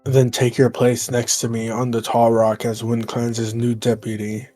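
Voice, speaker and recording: A man's voice: medium pitch, monotone, a little slow, dark tone, faint music behind, lightly acted. A man voicing a solemn cat clan leader, making formal proclamations in an online roleplay game. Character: solemn male clan leader cat addressing his warriors.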